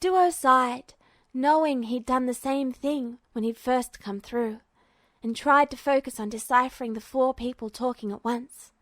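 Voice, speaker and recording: A woman's voice: sits high, a little slow, dry recording. A woman reading a fiction story aloud as a scripted narrator. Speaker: podfic narrator.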